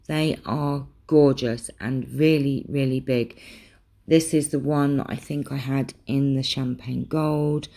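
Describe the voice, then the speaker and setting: A woman's voice: a bass voice, a little mellow. A young British woman in a casual craft vlog, chatting and rambling with lots of ums, her voice a little worn and throaty. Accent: British English. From England. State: slightly losing her voice, clearing her throat. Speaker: craft vlogger. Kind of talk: casual chat showing craft supplies.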